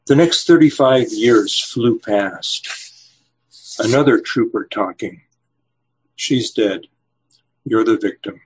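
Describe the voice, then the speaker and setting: A man in his seventies speaks in a husky, somewhat low voice. He reads his poem in a slow, measured way, and in conversation he hesitates, restarts and fills gaps with "uh". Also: rough recording. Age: 70s. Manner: slow measured reading, hesitant with fillers when chatting. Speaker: poet and novelist guest. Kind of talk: poetry reading and interview answers.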